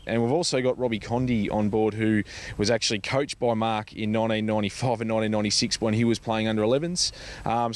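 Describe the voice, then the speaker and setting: An Australian man giving informal interview answers as a guest, speaking in a hesitant, halting way with many pauses. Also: fairly low pitch. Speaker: interview guest, charity foundation organiser.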